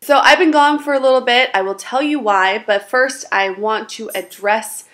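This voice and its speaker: A woman's voice: on the high side, normal speed, fairly bright tone. A young woman from China, a native Mandarin speaker, giving dating advice in a chatty, sarcastic, long-winded vlog. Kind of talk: casual direct-to-camera vlog.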